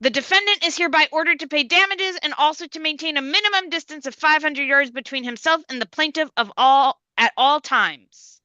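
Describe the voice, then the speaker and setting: A woman's voice: high voice, a little fast, few pauses, pushed and bright, no room sound, muffled recording. A woman playing a stern, no-nonsense courtroom judge, authoritative and formal, in a live comedy table read. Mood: ruling.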